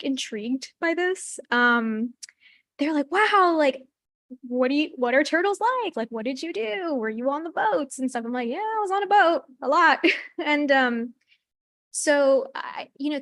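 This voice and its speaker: A woman's voice: sits high, fairly bright tone, no echo, studio quality. A young woman in her twenties, a medical student answering on a panel in a chatty way, with many fillers and light laughs, over a video call.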